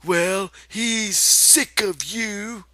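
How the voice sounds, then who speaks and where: Mean storybook rabbit, rural American accent, very high, slow, jeering, scornful, scolding. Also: male voice, sharp tone, no echo, studio quality, heavily acted. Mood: cruel taunting. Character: mean, taunting imaginary rabbit, scornful and foul-mouthed.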